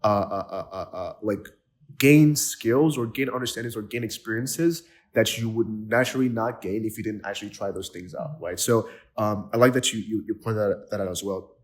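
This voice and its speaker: A man from Nigeria hosts a casual podcast chat in a fairly low voice. He talks eagerly, with plenty of "like" fillers and repeated false starts as he builds his point. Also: moderate pace. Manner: enthusiastic, many "like" fillers and restarts. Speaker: podcast host.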